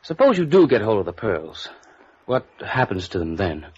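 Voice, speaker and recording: A muffled vintage radio recording of a smooth, evasive fiancé. His voice is low and husky. He sounds chummy and probing, with an uneasy hesitance. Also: big pitch swings, normal speed. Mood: affable, probing. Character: smooth, evasive male society fiancé, affable but uneasy. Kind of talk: old-time radio drama acting.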